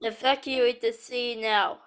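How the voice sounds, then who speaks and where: A woman reading a scripted emergency alert announcement in a stilted, deliberate manner. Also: a little high, steady tone, fairly bright tone, smooth.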